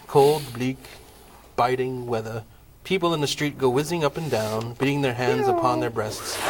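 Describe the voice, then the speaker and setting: A man's voice: medium pitch, noisy background. Guy narrating a jokey play reading, mid voice, wry. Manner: wry, laughs at the cast. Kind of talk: comic table-read narration.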